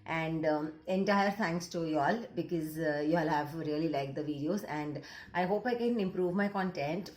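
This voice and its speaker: A woman's voice: deep voice, normal speed, a little mellow, roomy sound. A woman counseling psychologist with an Indian accent, Gujarati her first language, talking earnestly to camera and slipping into Hindi mid-sentence. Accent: Indian English. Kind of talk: casual talk to camera.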